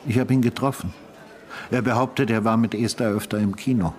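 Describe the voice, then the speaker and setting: Radio drama: actor as retired homicide investigator, older male, deep voice, measured, sober, quietly probing questioner. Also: steady tone, lightly acted. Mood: insistent, attentive. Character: retired homicide detective, older man, patient, probing, calm. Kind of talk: naturalistic German radio drama acting.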